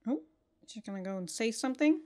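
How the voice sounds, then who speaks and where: Higher-voiced woman, sweary casual reaction commentary. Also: animated, brisk, warm tone. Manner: sweary, slangy, blunt, jokey. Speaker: reaction video host.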